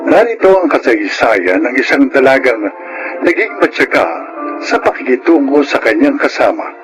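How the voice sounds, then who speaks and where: Male Filipino announcer for radio serial, theatrical and measured, expressive range, over a music bed. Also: mid-range voice, rough recording. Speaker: radio drama narrator and announcer. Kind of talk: dramatic scripted radio narration.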